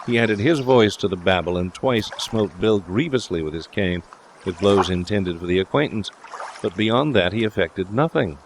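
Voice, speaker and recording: A man's voice: fairly low pitch, moderate pace, slight background noise. A man reading scripted literary narration as an audiobook narrator.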